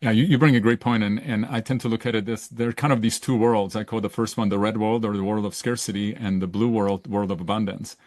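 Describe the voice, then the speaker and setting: A man's voice: fairly low pitch, fairly even intonation, quick pace, hardly any pauses, a little mellow, dry recording, clean studio sound. A middle-aged man from Czechoslovakia, hosting a podcast interview in a casual, searching way. He often restarts his sentences and checks in with "right?". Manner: searching, self-correcting, with frequent "right?" tags. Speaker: podcast host.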